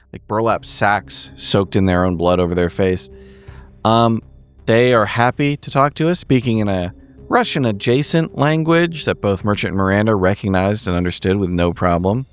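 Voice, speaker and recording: A man giving a casual spoken recap of a horror roleplaying story, in measured, wry phrasing. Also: lowish voice, clean tone. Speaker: podcast player giving the episode recap.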